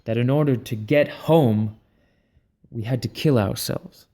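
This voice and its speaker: A man playing a haunted, intense leader of dream thieves in an audio drama, speaking in a brooding, deliberate way. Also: medium pitch, dark tone, natural voice. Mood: haunted, regretful.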